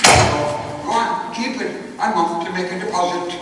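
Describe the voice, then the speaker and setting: An actor playing a forgetful, flustered old uncle in a live radio play. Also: male voice, high voice, measured pace, bright, forceful tone, a little hiss or hum. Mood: jovial. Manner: flustered and rambling. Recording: echoey hall from far off.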